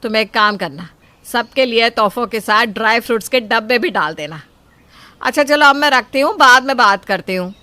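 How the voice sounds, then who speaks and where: A woman acts out a greedy middle-aged mother-in-law in a dramatized story. Her voice is high and bright, swinging widely as she wheedles with false politeness and pushes her demands. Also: expressive, normal speed, no room sound. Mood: pushy, demanding. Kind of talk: acted Hindi audio story.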